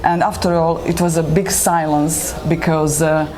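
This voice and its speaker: A woman from Slovakia whose first language is Hungarian, a guest poet answering interview questions with many pauses and restarts. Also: low voice, level tone, darkish tone. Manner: hesitant, many fillers and restarts. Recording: noisy room ambience.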